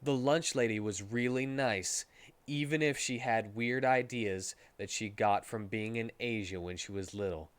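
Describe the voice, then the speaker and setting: A man's voice: lowish voice, measured pace, smooth, no room sound. Man narrating a story with mock drama. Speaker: streamer reading a story aloud.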